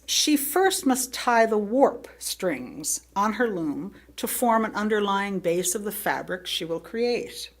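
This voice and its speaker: A woman reading a sermon aloud to a congregation in measured, deliberate phrases with pauses between them. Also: mid-range voice. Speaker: lay speaker reading another minister's sermon to a congregation. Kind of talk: sermon read aloud, with brief asides.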